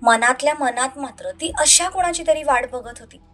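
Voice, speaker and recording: A woman's voice: high voice, normal speed, fairly bright tone, soft background music. A woman narrating an audio love story in a dramatic scripted style.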